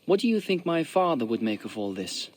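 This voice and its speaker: An actor playing an earnest, grateful young male ruler with a husky voice. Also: mid-range voice, very animated, brisk, a little mellow.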